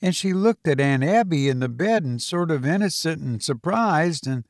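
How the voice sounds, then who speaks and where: A man reading a first-person story as an audiobook, in a folksy, expressive manner with rustic dialect phrasing. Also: on the high side, normal speed, no room sound, clean studio sound. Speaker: audiobook reader.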